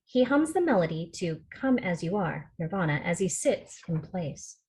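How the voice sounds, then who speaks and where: A woman's voice: lowish voice, very animated, normal speed, darkish tone, low-quality recording. A woman reading stage directions as the narrator at a film script table read. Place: remote video call rehearsal.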